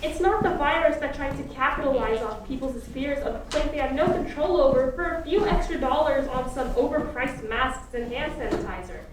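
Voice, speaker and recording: Woman playing a high-voiced scornful woman, echoey. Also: a little fast, hardly any pauses, natural voice. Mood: indignant. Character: scornful, sharp-tongued woman blaming a miser. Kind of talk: radio play acting. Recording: echoey distant stage with background noise.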